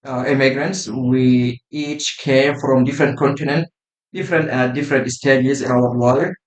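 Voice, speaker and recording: A man's voice: medium pitch, steady tone. Man from Sudan talking in an echoey room. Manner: measured, with frequent "uh" and "right?" tags. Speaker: podcast guest. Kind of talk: casual podcast conversation.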